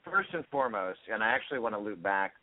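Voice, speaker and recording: A man's voice: fairly low pitch, sing-song, fast talker, no echo. A man co-hosting a radio talk show, upbeat and promotional as he introduces the topic and guest. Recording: dry, tinny phone line.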